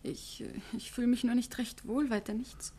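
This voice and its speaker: A woman's voice: fairly high pitch, steady tone, moderate pace, mellow. An actress playing a frightened young woman who stammers and hesitates, in a 1950s radio drama. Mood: nervous. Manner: hesitant, stammering, breathy whispers. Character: nervous frightened young woman hiding something.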